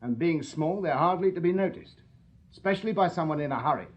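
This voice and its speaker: Victorian detective sergeant for radio drama, played by a man: English, fairly high voice, shrewd, deferential, deliberate. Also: animated, muffled recording, close to an everyday voice. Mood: pressing, accusing. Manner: methodical, deliberate, formally polite. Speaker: actor in a radio play. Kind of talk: British radio drama acting.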